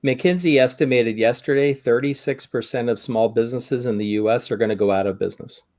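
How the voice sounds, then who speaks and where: A man in his fifties with an American accent talks in a conversational podcast style. His voice sits at an average male pitch, and he is reflective and wry, measuring out his points with pauses. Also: darkish tone. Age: 50s. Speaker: podcast co-host or guest. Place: indoor room on a video call.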